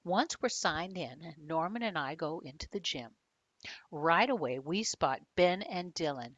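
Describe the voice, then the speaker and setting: A woman, a children's author, reading a storybook aloud expressively, with dramatic pauses and chatty questions to young listeners. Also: deep voice, lively intonation, fairly bright tone, no echo, highs cut off.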